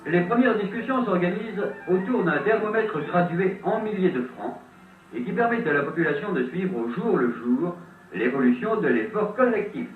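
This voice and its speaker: A thin, phone-like old soundtrack carries a male narrator with a lively, swooping voice. He tells his scripted story like a vintage French newsreel, with dramatic pauses. The sound is roomy, with faint music behind. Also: high-pitched. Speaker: documentary narrator. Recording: old tinny band-limited film soundtrack, roomy, distant.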